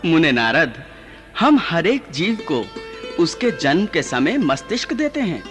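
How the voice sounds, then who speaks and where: An actor playing a solemn, patient male god who instructs with authority in a measured, declamatory radio drama style. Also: fairly high pitch, sing-song, fairly bright tone. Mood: patient, instructive. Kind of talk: mythological radio drama acting. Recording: studio radio play with music behind.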